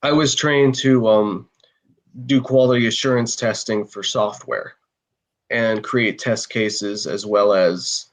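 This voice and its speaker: A man answering a question on a panel, speaking plainly and deliberately with some halting. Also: lowish voice, steady tone.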